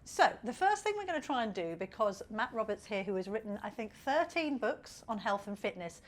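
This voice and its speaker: Chatty 40s woman host, teasing, mid pitch. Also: big pitch swings, fairly quick. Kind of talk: casual chat.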